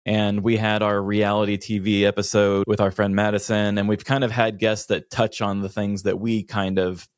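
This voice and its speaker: A man's voice: on the low side, little pitch movement, moderate pace, hardly any pauses, dry recording, clean studio sound. A man chatting casually as a podcast co-host. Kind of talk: casual chat.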